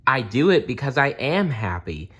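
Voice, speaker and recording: A man voicing a kind, serene, devout woman with a gentle manner in a storybook. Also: medium pitch, sing-song, moderate pace, husky, voice pushed up.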